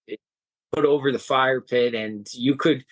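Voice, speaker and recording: A young man, a guest on a podcast, talking earnestly and haltingly with many restarts. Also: mid-range voice, steady tone, dry recording, rough recording. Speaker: guest, home cook and hiker. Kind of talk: casual interview chat.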